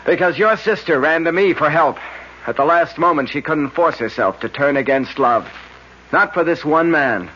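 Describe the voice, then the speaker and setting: An actor playing a firm, scornful family attorney in a 1970s radio drama. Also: male voice, fairly high pitch, lively intonation, normal speed, sharp tone, slight background noise. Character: stern protective family attorney, middle-aged. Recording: muffled 1970s radio broadcast.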